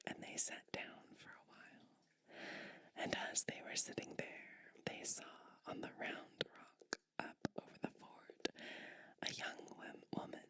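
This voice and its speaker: A woman whispering ASMR storytelling and bedtime roleplay in a gentle, soothing manner. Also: no room sound. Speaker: ASMR host playing a sleep witch.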